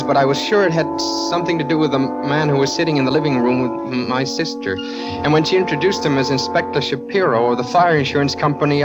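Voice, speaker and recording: An actor playing a nervous, defensive young man in a halting radio suspense style, on a vintage recording with music. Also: mid-range voice, close to an everyday voice, poor audio. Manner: halting, stammering. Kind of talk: radio suspense acting, first-person narration.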